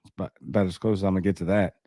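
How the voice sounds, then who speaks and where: A man's voice: low-pitched, fairly even intonation, very fast, mellow, no room sound. A man with a Cajun accent hosting a casual livestream, reading news and viewer comments with skeptical, rambling asides. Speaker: livestream host.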